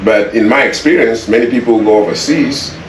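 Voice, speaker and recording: A man's voice: mid-range voice, brisk. A man with a Kenyan accent answering interview questions in an earnest, advice-giving way, repeating phrases for emphasis. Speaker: interview guest, property developer. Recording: echoey, distant mic, faint background noise.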